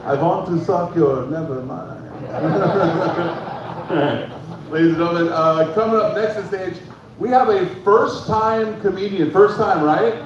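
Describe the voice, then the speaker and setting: A man hosting an open mic, loudly hyping up the next comedian with shouted introductions. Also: high voice, slow pace, lo-fi sound. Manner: loud, shouting hype. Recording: echoey room from far off, loud crowd and noise.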